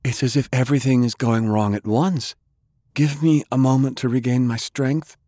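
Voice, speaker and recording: Man acting an exhausted, grave healer, pitch raised. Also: fairly even intonation, normal speed, mellow, dry recording, studio quality, lightly acted. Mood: exhausted, dismayed. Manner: urgent, grave.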